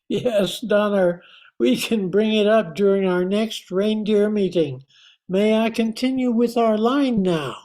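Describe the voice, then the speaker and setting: A woman playing a patient, courteous lead reindeer with a polite, measured manner in an amateur radio play. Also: mid-range voice, warm tone, natural voice.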